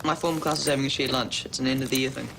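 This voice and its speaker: Cast a young man as a curt, defiant schoolboy, fast talker, music under it. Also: medium pitch, steady tone, vocal fry, heavy background noise, close to an everyday voice. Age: teenager. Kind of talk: television soap drama acting.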